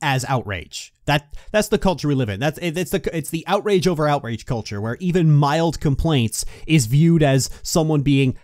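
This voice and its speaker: Loud, direct man with a dry close mic. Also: a little high, lively intonation, no room sound. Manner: loud, direct, clear delivery; fast-talking. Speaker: video essay host. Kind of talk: casual podcast discussion. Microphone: close studio microphone.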